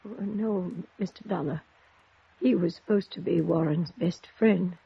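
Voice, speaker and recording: An actress playing a dignified elderly wealthy widow in a 1950s radio drama, with a husky, creaky voice and halting, genteel, theatrical delivery, grieving but composed. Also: female voice, lowish voice, heavily acted. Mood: anxious, proud. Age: older. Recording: muffled vintage radio recording.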